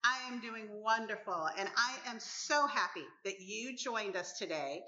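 A woman in her fifties from the Caribbean, hosting and interviewing on a talk show in an emphatic, expressive way. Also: medium pitch, a little slow, reverberant, highs cut off. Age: 50s.

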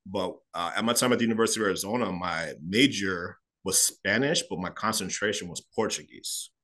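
Fast-talking man on a video call. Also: lowish voice. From Chicago, United States. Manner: fast, animated, gestures a lot while talking. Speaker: interview guest. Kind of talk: casual storytelling interview. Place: small room at home.

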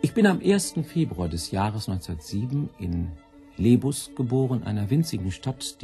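A man's voice: lowish voice, vocal fry, dark tone. A man reading a scripted autobiographical text.

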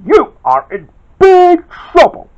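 An amateur storyteller voicing an earnest, excitable male restaurant worker with emphatic, overwrought delivery. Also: extremely high pitch, takes it slowly, pitched above the performer's own voice, heavily acted. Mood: outraged yelling. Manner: emphatic, overwrought, stretched-out exclamations. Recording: dull, lo-fi home recording.

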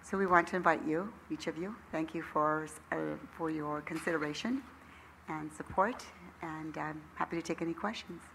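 A woman's voice: low-pitched, moderate pace, fairly bright tone, a little hiss or hum, poor audio. Older woman inviting council to an event. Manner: friendly, inviting, a little hesitant. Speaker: nursery school owner addressing council. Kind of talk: public comment at a council meeting. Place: council chamber, standing at a lectern. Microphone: lectern microphone.

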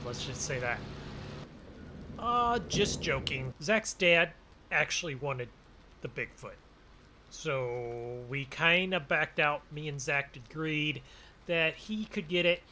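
A man's voice: on the high side, sharp tone, a little hiss or hum. A man giving casual, folksy role-play commentary as host of a farming game video, often exclaiming as he goes. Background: game vehicle and ambience sounds.

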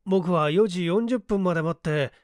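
A man's voice: high voice, normal speed, dry recording, clean studio sound, voice pushed up, lightly acted. A man playing a male office section chief in an audiobook, speaking in a familiar, casual way. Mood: questioning. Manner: familiar, questioning.

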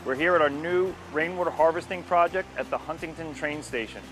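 An older man giving an informal, unscripted explanation to camera outdoors, speaking in a halting, explanatory way. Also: on the high side, poor audio. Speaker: landscape company presenter. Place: outdoors at a street corner by a station. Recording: music behind the voice, outdoor recording. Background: music.

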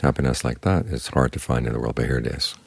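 The very low, husky man you would hear at a morning meditation sitting, calmly guiding everyone in plain words, close and dry in the ear. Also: quick pace, dry recording. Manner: calm, instructive, plain-spoken. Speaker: Buddhist monk, meditation teacher. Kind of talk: short spoken Dhamma talk guiding meditation.

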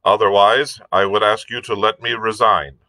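Man voicing a blunt, stern sea captain, pitched up. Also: steady tone, moderate pace, sharp tone, dry recording. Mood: firm, indignant. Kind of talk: audiobook character reading.

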